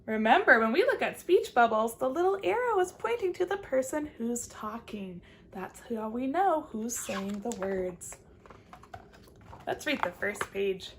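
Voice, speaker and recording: A woman kindergarten teacher reading aloud to young children, expressive and encouraging, stopping to ask simple questions. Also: fairly high pitch, unhurried, a little hiss or hum.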